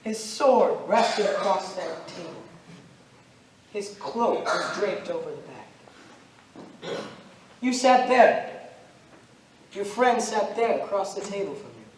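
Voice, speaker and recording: Young male actor as Judas-like accusing spirit: high voice, solemn and deliberate, amateur stage drama, picked up from across a roomy space. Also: animated. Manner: solemn, deliberate, declamatory storytelling. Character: Judas, ghostly accusing stranger, eighteen centuries old, grave and relentless. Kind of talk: amateur stage play acting. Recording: roomy, noisy stage recording from far off.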